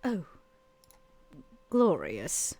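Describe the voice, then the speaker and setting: A woman's voice: low-pitched, huge pitch swings, very slow, vocal fry, mellow, slightly rough. A woman speaking casually as a player in a tabletop roleplaying game.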